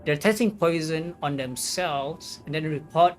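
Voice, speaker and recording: A woman livestreaming a game, reading its text aloud and musing on it in a halting way. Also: sits low. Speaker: game streamer. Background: game music.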